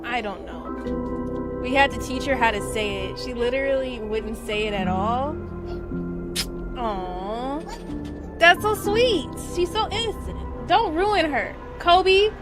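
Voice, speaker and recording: A woman streaming a game, chatting casually with viewers in halting, repeated half-phrases and exclamations. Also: sits high. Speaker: live streamer playing a game. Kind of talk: casual livestream chat with viewers. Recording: game music behind the voice.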